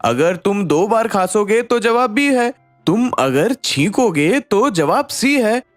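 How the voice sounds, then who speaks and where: A woman's voice: medium pitch, animated, slow pace, no echo, soft background music. Woman doing a cheeky cartoon school kid, glib excuses, voice bounces around a lot. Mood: scheming. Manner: glib, explaining.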